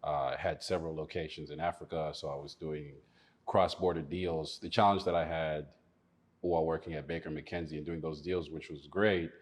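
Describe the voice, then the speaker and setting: A man's voice: rumbling low, fairly even intonation, moderate pace. A man from Malawi, an attorney in his thirties, chatting casually in an interview with many fillers and frequent laughs. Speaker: attorney and investor.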